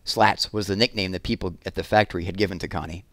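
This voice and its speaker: A man's voice: deep voice, fairly even intonation, fast talker, a bit bright, no echo. A man narrating a children's story as a read-aloud. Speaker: storybook reader.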